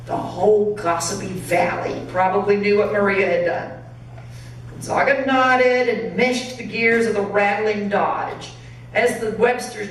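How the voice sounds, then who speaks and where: A woman narrates a story with expressive, dramatic delivery, like a pastor reading to a congregation. Her pitch is average. She is recorded at a distance in a very echoey church, with faint noise in the recording. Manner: dramatic reading, acting out dialogue. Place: church sanctuary.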